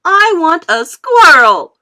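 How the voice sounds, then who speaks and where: A woman's voice: sing-song, slow, bright, forceful tone, full character voice. A woman voicing a spoiled, demanding rich little girl who shrieks for what she wants. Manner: shrill, demanding, bratty.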